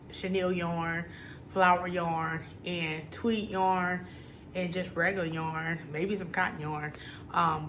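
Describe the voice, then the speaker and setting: Woman, hoarse after the flu, lowish voice, loose rambling chat, faint background hiss. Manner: rambling, relaxed, many ums. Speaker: crochet vlogger. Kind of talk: casual chat.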